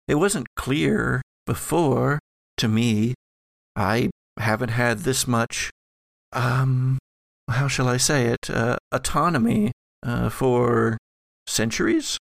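A man's voice: medium pitch, gravelly, dry recording. A man voicing an ancient female spirit made of ectoplasm in an audio drama game, musing evasively and searching for words. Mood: musing, hesitant.

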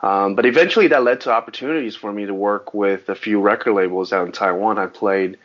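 A man whose first language was Mandarin talks in a loose, rambling way, stumbling over restarts. His voice sits low. The podcast audio sounds dull and lo-fi. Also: brisk. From Southern California. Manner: rambling, frequent restarts, animated on sports. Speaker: guest, actor and musician. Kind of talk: casual interview chat.

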